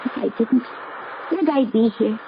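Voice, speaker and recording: A noisy, muffled old radio drama recording carries an actress playing a young woman with a high voice. She is an anxious lover torn between her heart and her family, speaking with hesitant, earnest intensity. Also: moderate pace, natural voice. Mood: uneasy. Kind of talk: 1970s radio drama acting.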